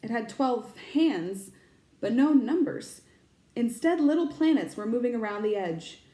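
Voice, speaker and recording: A woman reads a story aloud as a teacher would. Her voice sits on the high side and her narration is expressive. The recording carries strong room echo. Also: unhurried. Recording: echoey room.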